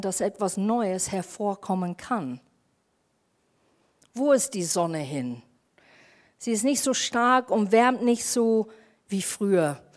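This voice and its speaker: A woman pastor with an English accent, preaching in an informal, chatty way with fillers and slips in grammar. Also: a little high. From half German, half English. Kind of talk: sermon.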